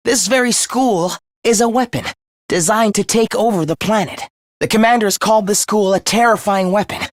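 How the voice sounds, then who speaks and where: A man's voice: sits high, dry recording, clean studio sound, lightly acted. An actor voicing an obsessive young man in a video game, devoted to his comrade as to a god, with theatrical, intense, florid delivery. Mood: serious explaining. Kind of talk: English video game voice acting, dramatic monologue.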